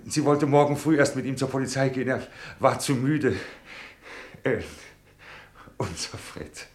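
A man's voice: medium pitch, moderate pace, natural voice. Actor as anxious, tender father in echoey room. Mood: relieved, excited. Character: anxious, tender middle-aged father awaiting his missing son. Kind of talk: German radio play acting.

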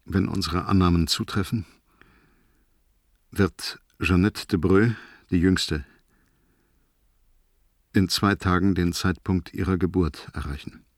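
A deep, husky spaceship commander. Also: male voice, fairly even intonation, takes it slowly, pauses often, dry recording, studio quality, full character voice. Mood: measured, solemn. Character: grave spaceship commander reporting on a doomed crew. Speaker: actor. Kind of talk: German science-fiction radio drama.

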